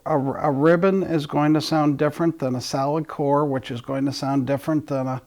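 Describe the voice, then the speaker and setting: A man speaks as host of an audio channel in an informal, conversational style. His voice sits a little high for a man and has a strong gravelly creak. He rambles, often breaking off and starting his sentences again. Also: fairly even intonation, moderate pace, a little mellow. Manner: rambling, often restarting sentences mid-thought. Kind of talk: casual talk to listeners.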